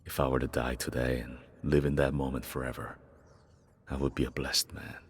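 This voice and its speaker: Very deep, husky, regretful father. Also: little pitch movement, moderate pace, full character voice. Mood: tender, nostalgic. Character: weary, regretful father reminiscing tenderly about his child. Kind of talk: dramatic first-person game monologue.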